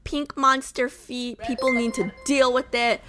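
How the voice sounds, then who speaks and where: A woman's voice: on the high side, fairly even intonation, a little slow, over loud music. A young adult woman streamer chatting casually with her viewers, bubbly and full of fillers. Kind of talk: casual chat with live chat.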